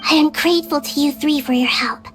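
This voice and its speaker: A woman voicing a wise, gentle young goddess girl in a video game, speaking with composed authority. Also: high voice, brisk, faint music behind. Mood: composed, grateful.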